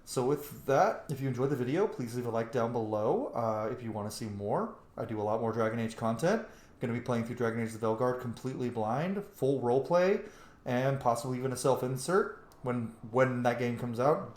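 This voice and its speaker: A man's voice: medium pitch, brisk, a little mellow. A man giving casual, blunt and often profane commentary while roleplaying through a video game. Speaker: gaming video creator. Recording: roomy echo on the voice.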